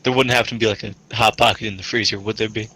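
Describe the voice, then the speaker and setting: A man's voice: lowish voice, fairly even intonation, racing, pushed and bright, slightly rough, rough recording. A man playing a tabletop game, chatting and joking casually in character and out.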